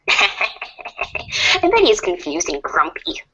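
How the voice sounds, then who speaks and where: A woman's voice: medium pitch, huge pitch swings, very slow, pushed and bright, slight background noise, exaggerated voice, rough recording. A woman voicing a playful, sly troll girl in an amateur reader's theater, teasing and confident.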